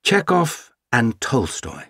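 A man's voice: medium pitch, sing-song, unhurried, husky, no echo, studio quality, pitched above the performer's own voice, exaggerated voice. A man voicing an eloquent, gallant Russian count who speaks in florid, theatrical oratory. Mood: grandiloquent.

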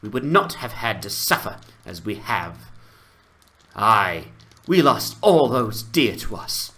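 A man's voice: on the low side, sing-song, slow, bright, forceful tone, heavily acted. A man voicing a stubborn, indignant young townswoman leader, scolding and defiant, in a fantasy game dramatization.

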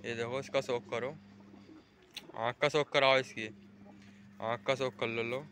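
Bright-voiced young man vlogging outdoors. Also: mid-range voice, level tone, a little slow, slight background noise. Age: young adult. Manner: chatty, directing friends, calling out to viewers. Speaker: vlogger showing pigeons. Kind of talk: casual vlog narration. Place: outdoors on a rooftop. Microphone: phone camera held in hand.

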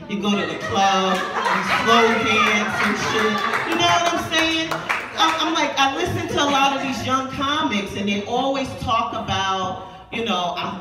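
Brash, profane woman in her fifties. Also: mid-range voice. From New York. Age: 50s. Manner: brash, profane, conversational, with frequent asides to the crowd. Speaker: stand-up comedian. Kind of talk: raunchy stand-up set. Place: small dim club with a low stage. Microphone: handheld mic, filmed by a far camera in the crowd. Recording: roomy, distant club audio with loud crowd noise.

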